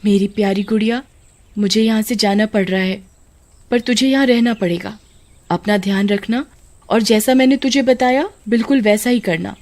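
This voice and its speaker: A woman voicing a wronged housemaid, plaintive and quietly ominous, in a horror story. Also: medium pitch, fairly even intonation, moderate pace, lightly acted. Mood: sad, tender. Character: wronged housemaid, soft-spoken, secretly vengeful and eerie.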